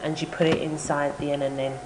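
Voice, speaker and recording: Low-pitched woman presenting in a roomy recording. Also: level tone, fairly quick. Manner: informal step-by-step instruction. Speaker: tutorial presenter. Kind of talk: software tutorial narration. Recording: roomy echo with background noise.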